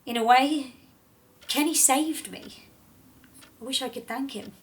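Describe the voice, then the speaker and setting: Actress doing quiet resilient survivor lady, highish voice, measured and reassuring, audio drama. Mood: calm, reflective.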